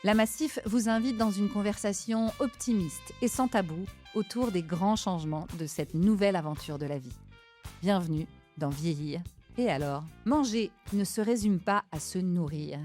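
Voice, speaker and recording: A woman reading a scripted introduction in a smooth, measured way. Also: mid-range voice, warm tone, faint music behind. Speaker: narrator.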